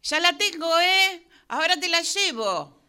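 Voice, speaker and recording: A woman's voice: very high voice, expressive, measured pace, sharp tone, heavily acted. A woman with a Rioplatense accent playing a curious, suspicious neighbor in an amateur radio drama, muttering asides under her breath. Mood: friendly. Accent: Rioplatense Spanish. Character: curious neighbor woman Rosy, wary and nosy.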